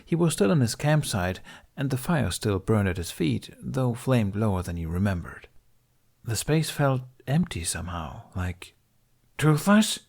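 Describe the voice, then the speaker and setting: A man reading an audiobook story as narrator, in expressive scripted narration. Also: lowish voice, normal speed, vocal fry.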